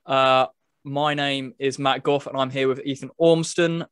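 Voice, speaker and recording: A man's voice: medium pitch, steady tone, a little slow, fairly bright tone, clean tone, no echo, studio quality. A man hosting a casual video chat about games, talking as a podcast presenter. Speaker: podcast host presenting a game review video.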